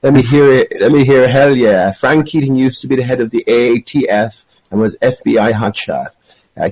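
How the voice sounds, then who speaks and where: A man talks casually at a middling pitch, sometimes reading aloud and commenting as he goes. He is hesitant and thinks out loud. The sound is dull and lo-fi, like an online voice chat.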